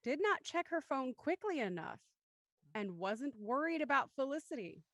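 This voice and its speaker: A woman's voice: sits high, sing-song, moderate pace, fairly bright tone, dry recording, studio quality. A woman moderating a casual group discussion.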